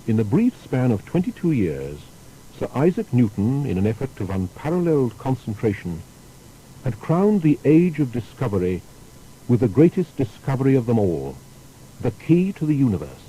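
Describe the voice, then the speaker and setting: A man's voice: medium pitch, mellow, a little hiss or hum, low-quality recording. A man narrating a scripted biographical documentary with dramatic, emphatic phrasing.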